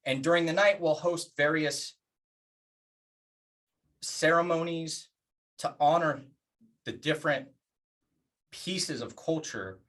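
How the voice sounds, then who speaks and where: A man presenting plans in a public address, speaking earnestly in deliberate phrases with emphatic pauses. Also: mid-range voice, a bit bright. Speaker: organization leader presenting plans.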